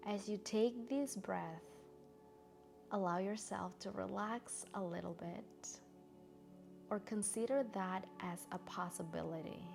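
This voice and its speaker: A woman guiding a meditation in a gentle, soothing, soft-spoken way with long pauses. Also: medium pitch, gravelly, soft background music. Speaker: meditation guide. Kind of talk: guided meditation narration.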